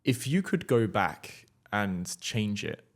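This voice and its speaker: A man hosting a casual podcast interview in a low voice. He speaks earnestly and encouragingly, stumbling over a few restarts as he talks. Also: very animated, slow. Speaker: podcast host.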